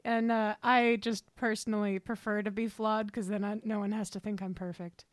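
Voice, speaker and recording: A woman's voice: medium pitch, level tone, moderate pace, dry recording. A woman co-hosting a casual interview, chatting informally while her voice is starting to give out. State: losing her voice. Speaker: podcast co-host.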